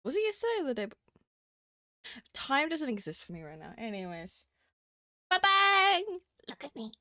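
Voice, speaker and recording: A woman's voice: high-pitched, wildly swooping pitch, normal speed, pushed and bright, no echo, clean studio sound. A woman streaming live, chatting casually with many fillers and giggles, a bit sniffly from a cold. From Southern Hemisphere. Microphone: desk microphone.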